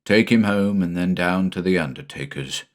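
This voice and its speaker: A man voicing a grieving farmer father set on vengeance, speaking low, quiet and hard. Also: moderate pace, close to an everyday voice. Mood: grim.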